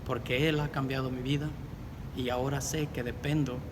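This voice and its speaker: Earnest middle-aged man, reflective testimony, long reverb, loud rumbling noise behind. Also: flat delivery, moderate pace. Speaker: deacon candidate. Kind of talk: personal faith testimony.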